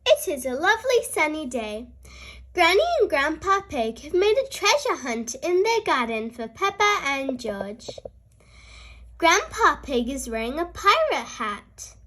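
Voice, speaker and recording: A very high-pitched, young-sounding storyteller reads a picture book for small children. The delivery is slow and highly animated, with cheerful expression aimed straight at the listener. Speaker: host and reader of a children's read-aloud show.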